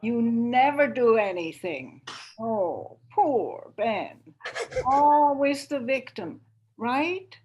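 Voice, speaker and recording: A woman's voice: fairly high pitch, lively intonation, extremely slow, darkish tone, highs cut off, slight background noise. A woman playing an exhausted, sarcastic young mother in a dramatic script read over a video call. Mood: scornful, sarcastic. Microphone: home microphone on a video call.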